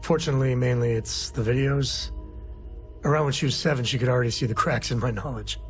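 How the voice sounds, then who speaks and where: A man's voice: medium pitch, fast, faint music behind, close to an everyday voice. A man playing an easygoing, folksy space explorer and single father in a video game. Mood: easygoing, reflective. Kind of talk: video game character dialogue.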